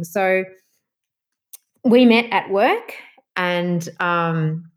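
A woman with an Australian accent and a nasal voice, chatting as an interview guest with many fillers and restarts. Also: medium pitch. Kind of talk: casual interview chat.

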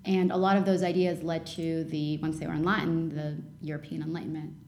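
Low-voiced woman in an echoey room. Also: little pitch movement, brisk, darkish tone. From Lebanon, raised in Canada. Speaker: startup founder and CEO. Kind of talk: interview conversation.

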